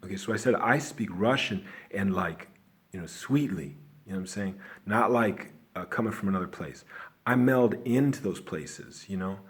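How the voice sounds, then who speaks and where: A man's voice: lowish voice, expressive, normal speed. A man from Chicago with an American accent, talking loosely in a casual monologue as an online teacher, often asking "you know what I'm saying?". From Chicago, USA.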